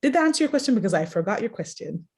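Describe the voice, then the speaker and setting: A woman's voice: mid-range voice, very animated, racing, darkish tone. A young woman from Jamaica giving casual, reflective interview answers, hesitantly thinking as she goes. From Jamaica, later Mexico. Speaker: interview guest, social worker.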